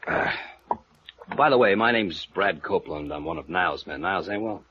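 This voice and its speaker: A man's voice: sits low, expressive, moderate pace, bright, forceful tone, slightly rough, heavily acted. A man playing a slangy, easygoing private detective agency operative in a 1950s radio noir drama. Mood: casual. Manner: casual, streetwise slang. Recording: muffled vintage radio drama recording.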